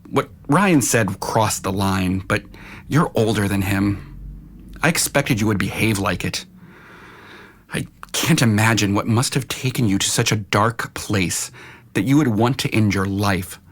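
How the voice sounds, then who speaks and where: A man playing a stern, protective middle-aged uncle in an audio drama, speaking firmly in a measured, admonishing way. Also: fairly low pitch.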